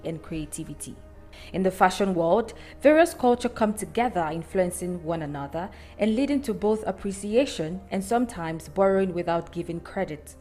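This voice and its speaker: Female news reporter, voice-over. Measured, formal read with faint music underneath. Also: medium pitch, a little mellow.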